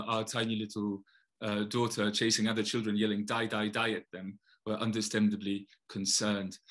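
A man's voice: mid-range voice, fairly bright tone. A man in his thirties with a Polish accent, a poet talking casually to a webcam from his home study, thoughtful and full of hesitations and restarts. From Poland. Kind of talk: casual talk to camera. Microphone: webcam, video call framing.

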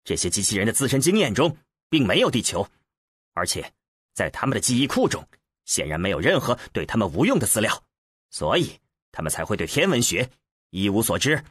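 Man voicing a brusque, husky space explorer. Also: on the low side, sing-song, fairly quick, fairly bright tone, no echo, studio quality, voice pushed down, exaggerated voice. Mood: sardonic, dismissive. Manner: skeptical, commanding, sardonic. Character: brusque, impatient, sardonic male space explorer. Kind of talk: acted audiobook dialogue.

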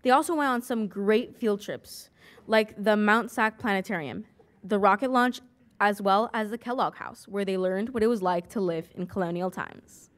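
A young student council president reading a prepared school report aloud at a desk microphone in a large meeting hall. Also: female voice, medium pitch, moderate pace. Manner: reads aloud from a script, even and careful.

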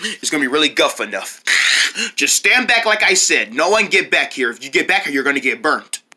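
Man playing a blustering cookout fire maker, high-pitched, swooping, stubborn, easily flustered, repetitive, improvised skit. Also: big pitch swings, fairly quick, sharp tone, breathy edge, heavily acted. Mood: boastful.

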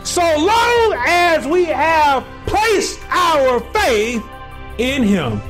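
A man in his forties delivers a sermon from a small room, his high voice swinging widely in pitch. He moves slowly and deliberately, then climbs into shouted peaks. Faint music sits behind him. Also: very animated. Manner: preaching with rising, shouted peaks and slow drawn-out phrases. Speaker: pastor.

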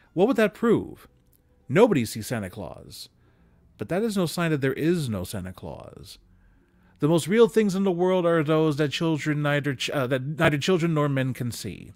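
A man reading classic prose aloud expressively, with measured, dramatic emphasis, close to a desk microphone. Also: a little high, darkish tone, dry recording. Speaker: reader of holiday stories aloud. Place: small indoor room with bookshelves. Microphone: desk condenser microphone, close. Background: music.

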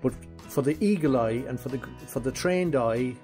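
A man's voice: medium pitch, normal speed, soft background music. A man doing casual how-to narration in his garage workshop, thinking aloud as he works and calling viewers guys. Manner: relaxed, thinking aloud while working, addresses viewers as guys. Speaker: restoration vlogger.

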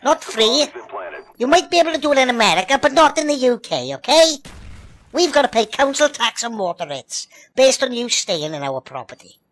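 A man's voice: very high voice, sing-song, a little fast, fairly bright tone. A Welsh man in online game voice chat, scolding other players in an indignant, sweary rant. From Wales. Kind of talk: comedic in-game trolling rant.

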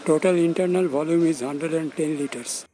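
A man's voice: on the high side, normal speed, dark tone, a little hiss or hum. A man giving scripted, step-by-step narration as the presenter of a technical demonstration. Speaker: presenter of a loudspeaker testing demonstration.

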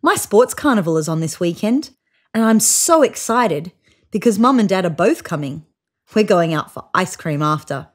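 A woman in her thirties reading a children's picture book aloud in an expressive, child-directed way. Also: lowish voice, moderate pace. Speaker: storybook reader. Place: small room indoors, seated on a couch.

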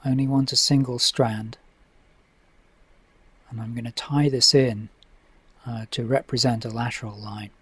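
A man's voice: mid-range voice, measured pace, long pauses, slightly rough, dry recording. Middle-aged male fly-tying instructor, methodical and easygoing, dry close-mic sound. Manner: relaxed, methodical, with frequent uh fillers. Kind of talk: instructional voice-over. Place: home tying desk.

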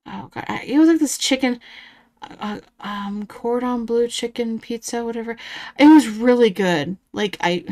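Woman, thirties, reaction commentator: blunt, sarcastic, swears freely, slightly high voice. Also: unhurried, a little mellow. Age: 30s.

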